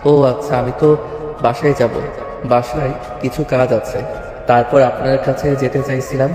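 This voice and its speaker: A man's voice: mid-range voice, level tone, normal speed, a little mellow, natural voice. In a reverberant audio drama with music underneath, a man plays a principled young male student. He speaks evenly and seriously, holding himself back. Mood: polite, calm. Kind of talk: Bengali audio story acting. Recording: echoey long reverb, music bed.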